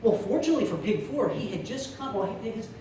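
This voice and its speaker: Male contest speaker, humorous tall-tale delivery, lively and theatrical, recorded from afar in a very echoey room. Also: high voice. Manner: theatrical, with drawn-out punchlines. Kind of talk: humorous storytelling speech to a live club audience. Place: meeting room before an audience. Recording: echoey room from far off, loud noise.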